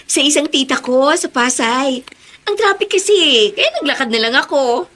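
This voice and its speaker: A bubbly young woman, with a high, bright voice. She is affectionate and teasing, with lively swings of melody in a radio drama style. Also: normal speed, a little hiss or hum. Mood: playful.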